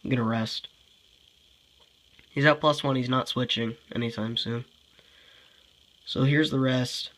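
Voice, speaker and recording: A man's voice: mid-range voice, steady tone, unhurried, pauses often, fairly bright tone, a little hiss or hum, poor audio. A young man with a congested voice giving casual game commentary, thinking aloud, sighing often and sounding frustrated. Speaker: gameplay commentator.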